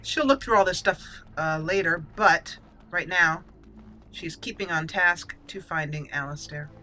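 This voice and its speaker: A woman's voice: fairly low pitch, unhurried, bright, forceful tone. A woman hosting a gaming channel, giving role-play commentary and speaking lines in character.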